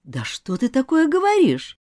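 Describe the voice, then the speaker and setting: A woman voicing a kindly schoolteacher who speaks softly and coaxingly to an upset pupil. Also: high-pitched, wildly swooping pitch, normal speed, no echo, clean studio sound, voice pushed up. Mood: gently concerned. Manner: soft, coaxing. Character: kindly female schoolteacher, gentle and concerned.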